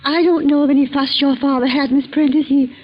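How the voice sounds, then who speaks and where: An actress playing a nervous, reluctant secretary in a 1940s radio drama. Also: female voice, high-pitched, fairly even intonation, brisk, slight background noise, natural voice. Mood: hesitant, nervous. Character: nervous, reluctant office secretary confiding cautiously. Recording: thin, phone-like 1940s radio recording.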